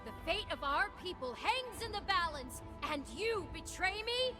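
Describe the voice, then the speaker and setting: A woman's voice: very high-pitched, very animated, moderate pace. An actress playing a noble young warrior queen and archer in a video game, an earnest leader with dry wit. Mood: stern, betrayed. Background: music.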